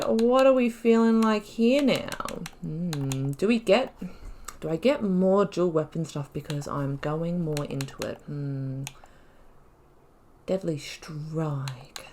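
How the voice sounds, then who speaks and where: A woman's voice: lowish voice, expressive, slow, darkish tone, slight background noise. A woman giving casual let's play commentary, chatty and musing, thinking aloud as she plays. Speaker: gaming YouTuber playing through a role-playing game.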